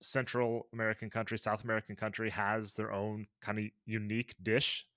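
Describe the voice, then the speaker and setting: A man's voice: lowish voice, completely flat delivery, brisk, bright, forceful tone, no room sound, clean studio sound. A man co-hosting a podcast in easygoing casual chat, thinking aloud. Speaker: podcast co-host.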